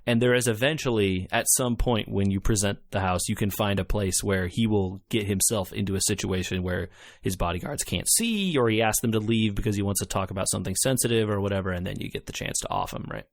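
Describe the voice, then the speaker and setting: A man with a lowish voice co-hosts a casual gaming podcast. He retells things in an animated way, often acting out quotes. The sound is dry and close. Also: brisk, vocal fry, hardly any pauses, dry recording. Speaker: podcast co-host. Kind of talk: casual chat about video games.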